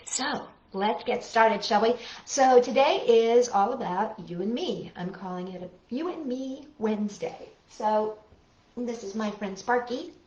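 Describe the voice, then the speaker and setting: A woman's voice: on the high side, lively intonation, measured pace, darkish tone. A woman hosting storytime for young children, talking, reading and singing in a playful, encouraging way. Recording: echoey room, dull lo-fi audio.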